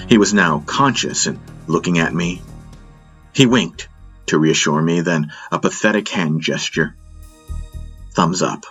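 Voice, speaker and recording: A man reading dramatic first-person story narration as an audiobook narrator, with measured, deliberate phrasing. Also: low-pitched, fairly bright tone, soft background music.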